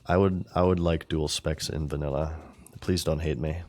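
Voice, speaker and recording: A man streaming a game and chatting casually with viewers, rambling and thinking aloud, his voice scratchy and clearing his throat. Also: a bass voice, steady tone, normal speed, a little mellow. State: scratchy, failing voice.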